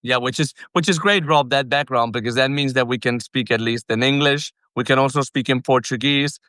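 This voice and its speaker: A man hosting a podcast about business, talking in an energetic, chatty way with frequent self-corrections. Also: mid-range voice, fairly quick, clean tone, no room sound, clean studio sound. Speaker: podcast host. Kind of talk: casual podcast interview.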